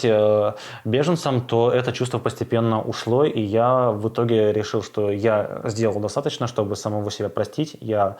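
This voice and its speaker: A man speaking in a measured, firm way in a documentary interview, with brief hesitations. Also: lowish voice. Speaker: volunteer interviewee.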